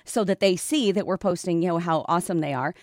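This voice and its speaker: A woman's voice: on the low side, quick pace, no echo, studio quality. A woman hosting a podcast, asking questions and summing up what her guest says. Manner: inquisitive, sums up the guest's points. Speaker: podcast host. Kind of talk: interviewing.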